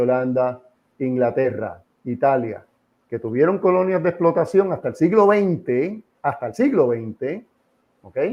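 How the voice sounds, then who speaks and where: A man's voice: medium pitch, mellow. Dull-sounding recording, emphatic Puerto Rican man. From Puerto Rico. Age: middle-aged or older. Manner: emphatic, didactic, repeats phrases and asks rhetorical questions. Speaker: interview guest, association president. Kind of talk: opinionated informal interview on history.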